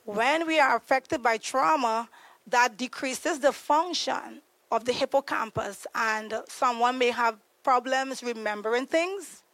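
A woman from Trinidad and Tobago, a podcast guest explaining trauma in an earnest, conversational way, pausing to search for words. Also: on the high side, a bit bright, dry recording, poor audio. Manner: earnest, searching for words, frequent ums and restarts.

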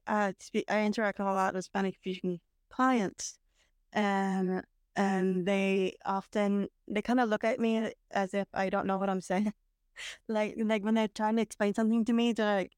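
A woman talking casually with her brother as a podcast guest, reflective and hesitant, with frequent pauses and restarts. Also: medium pitch, clear, resonant tone, dry recording, clean studio sound. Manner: hesitant, reflective, many fillers and restarts, occasional laughs. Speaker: guest, host's sister. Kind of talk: casual conversation. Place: parents' house.

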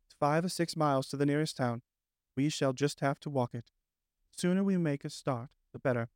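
A man voicing a practical male water rat who speaks firmly and briskly. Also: on the high side, dry recording, studio quality, natural voice. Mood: grim. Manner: firm, brisk, exasperated.

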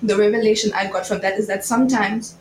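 A woman's voice: medium pitch, steady tone, fast talker, darkish tone. A young woman on a podcast panel, explaining her thoughts in a casual, informal way. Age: young adult. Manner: informal, explanatory, filler-heavy with "like". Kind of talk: casual faith discussion. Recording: very echoey room, faint noise.

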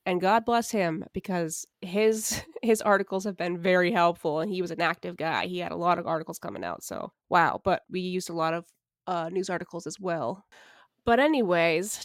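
A woman's voice: fairly low pitch, normal speed, no room sound, studio quality. A woman co-hosting a podcast in casual chat, sick and a little nasal and scratchy, wry and self-mocking with frequent laughs. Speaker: podcast co-host.

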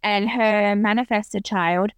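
A woman's voice: medium pitch, fairly even intonation, takes it slowly, clear, resonant tone, no echo. A woman in her thirties, a podcast guest talking earnestly and reflectively about her own experience. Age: 30s. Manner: earnest, reflective, with frequent restarts. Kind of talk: casual interview conversation.

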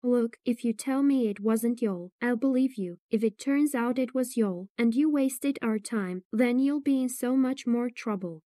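Guy voicing a nice lady cop, high, mellow, calming. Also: measured pace, hardly any pauses, no echo, studio quality. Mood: reassuring. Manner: soft, reassuring. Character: kind, gentle female police officer.

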